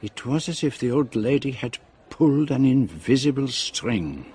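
An actor playing an observant gentleman detective who narrates a radio drama deliberately, in an ominous storytelling manner. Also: mid-range voice, sing-song, husky, full character voice. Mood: grave, ominous.

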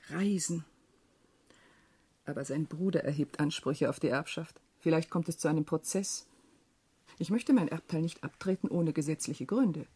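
An actress playing a weary, shrewd young widowed landowner in a German radio play, husky and speaking in a measured, reflective way. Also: female voice, medium pitch, dark tone.

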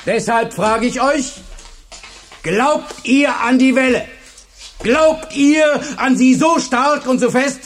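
Fervent, high-pitched charismatic teacher. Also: male voice. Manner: commanding, rhetorical, emphatic. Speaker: actor in a radio play. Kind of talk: German radio drama acting.

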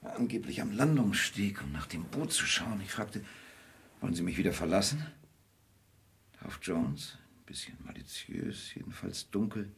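An actor playing a brooding, detached gentleman in a radio drama, speaking reflectively and often hushed, with sudden urgency. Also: lowish voice, animated, moderate pace, gravelly, breathy edge, heavily acted. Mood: wry, reporting. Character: brooding, detached gentleman in love with an endangered woman.

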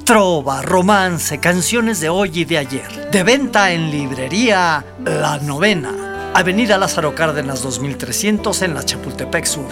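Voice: medium pitch, expressive, measured pace, music in the background. An announcer with a Mexican accent reading a scripted radio promo.

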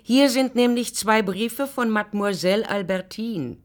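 An actress playing a matter-of-fact household servant in a German literary radio drama, speaking in a soothing, deferential way. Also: female voice, on the high side, unhurried, close to an everyday voice. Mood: reassuring.

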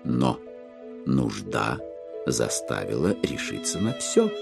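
Gravelly male narrator, slow and expressive. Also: on the low side. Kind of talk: dramatized literary audiobook narration.

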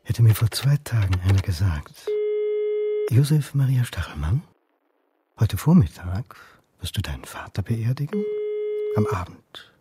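An actor playing a wry, sceptical history lecturer in a radio crime drama, with a dry, sardonic manner. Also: male voice, fairly low pitch, big pitch swings, a little slow, pauses often, heavy background noise. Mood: wry, brooding. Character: wry, sceptical male history lecturer turned amateur sleuth.

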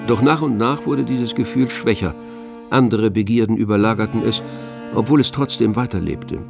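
Composed literary narrator, lowish voice, measured, detached, subtly ironic, radio play, faint music. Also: male voice, vocal fry. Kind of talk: scripted radio drama narration.

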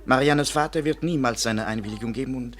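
An actor playing a lovelorn, melodramatic French waiter in a 1950s German radio play, lamenting theatrically. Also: male voice, mid-range voice, a bit bright, lightly acted. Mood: mournful, confused. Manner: theatrical, lamenting, dramatic pauses.

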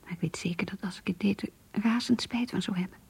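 An actress playing an anguished, lovesick young woman confiding in her diary in a radio play, hushed and intimate. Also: mid-range voice, fairly quick. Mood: anguished, pleading. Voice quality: breathy, hushed, whispery. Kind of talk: Dutch radio play monologue.

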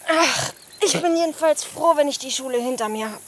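High-voiced teenage schoolgirl outdoors, played by an actress. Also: fairly quick, lightly acted. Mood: chatty, playful. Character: chatty, dreamy East German schoolgirl in her early teens. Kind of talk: naturalistic German radio drama acting. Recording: outdoor ambience with crickets, clear background noise.

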